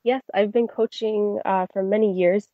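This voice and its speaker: A woman's voice: mid-range voice, fairly even intonation, normal speed, no echo, highs cut off. A young woman from Canada, a singer answering interview questions in a friendly, chatty way with frequent fillers. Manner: friendly, many fillers and restarts. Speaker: guest singer.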